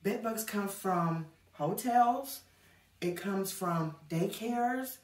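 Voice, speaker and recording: A woman's voice: medium pitch, very slow, a little mellow, far from the mic. Woman, 30s, home vlogger, talks to camera like a friend; camera set back in a bare, echoey room. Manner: chatty, addresses viewers as y'all. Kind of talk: casual chat to camera. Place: small indoor hallway, later a child's bedroom. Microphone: camera on a stand a few feet away.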